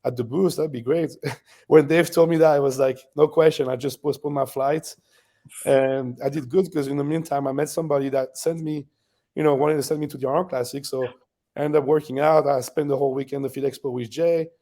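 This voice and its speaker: Gravelly French-accented man, warm tone. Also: a little high, brisk. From France. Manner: relaxed, laughs often, frequent fillers. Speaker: guest bodybuilder. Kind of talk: casual interview storytelling.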